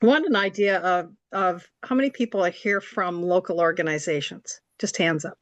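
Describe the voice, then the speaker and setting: A woman with a scratchy, slightly hoarse voice giving an informal explanatory presentation, polite and somewhat hesitant. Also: fairly low pitch, normal speed, dry recording, muffled recording. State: hoarse from allergies. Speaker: report coordinator, presenter. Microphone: video call.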